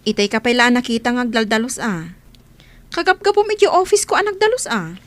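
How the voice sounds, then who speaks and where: A woman's voice: fairly high pitch, animated, brisk, no room sound, close to an everyday voice. A woman playing a haughty female office superior in a comedy radio drama, scolding and reproachful.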